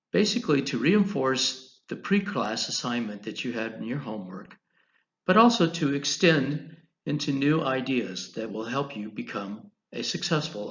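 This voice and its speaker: An American man teaching an online class, giving a deliberate, instructional lecture over slides, phrase by phrase. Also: mid-range voice, a bit bright, muffled recording. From United States, Colorado.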